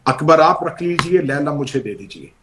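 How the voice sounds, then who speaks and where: A man's voice: a little high, lively intonation, moderate pace, very noisy, lightly acted. A man preaching who voices a grieving noble woman, speaking tearfully and pleading. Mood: resolute.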